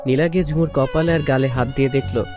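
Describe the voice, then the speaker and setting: A man's voice: medium pitch, fairly even intonation, quick pace. A man reading a scripted romantic story as a narrator. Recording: music bed under the voice. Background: prominent instrumental music.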